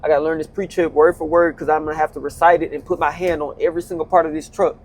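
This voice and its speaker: A man's voice: a little high, little pitch movement, racing. A man in his twenties, a truck driver, giving a loose, slangy motivational talk from inside his truck cab. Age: 20s. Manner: loose, conversational, slangy, repeats himself.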